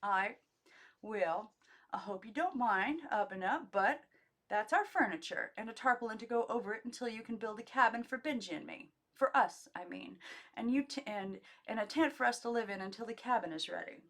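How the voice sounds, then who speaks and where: A woman's voice: a little high, animated, normal speed, a bit bright. A woman voicing a brash, plucky young mother in an audiobook, with emphatic, colloquial delivery. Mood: hesitant, nervous. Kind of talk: audiobook character dialogue.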